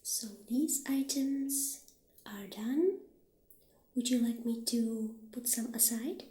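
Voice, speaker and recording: Slow, soft-spoken woman in ASMR roleplay. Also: on the high side. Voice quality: soft, breathy, often near whispering. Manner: gentle, unhurried, halting. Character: friendly witch shopkeeper showing potion ingredients. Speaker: ASMR performer playing a witch shopkeeper. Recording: roomy echo, faint background noise.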